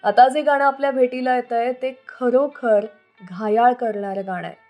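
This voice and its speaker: A woman's voice: fairly high pitch, fairly even intonation, moderate pace, a little mellow. A woman hosting a radio show, narrating scripted stories about old songs. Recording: music playing under the voice. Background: background music.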